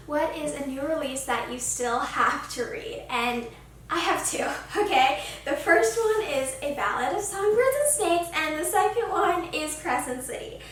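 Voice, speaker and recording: A woman's voice: high-pitched, fairly bright tone. A woman chatting casually and emphatically to her viewers as a gushing book vlogger. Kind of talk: casual chatty vlog to camera. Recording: very echoey room, distant mic, faint noise.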